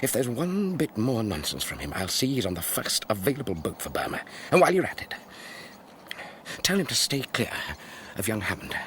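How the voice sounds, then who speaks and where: A noisy radio drama recording of a blustering British army Major. He is pompous and stern, clipped and harrumphing, with a very lively rise and fall. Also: fairly low pitch, brisk. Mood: stern, threatening. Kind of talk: BBC radio drama dialogue.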